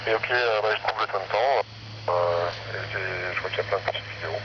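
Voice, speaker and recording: Low-voiced man over a very noisy radio link. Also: fairly quick, dull audio. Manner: hesitant, repeating himself as he goes. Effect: radio link heard through a small speaker. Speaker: amateur radio operator. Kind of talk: casual amateur radio chat.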